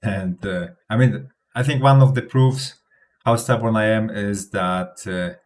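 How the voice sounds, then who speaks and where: Host, male, low-ish voice, confident rambling crypto livestream chat. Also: unhurried, darkish tone. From Poland. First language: Polish. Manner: rambling, self-assured, laughs often, restarts words. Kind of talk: casual livestream commentary.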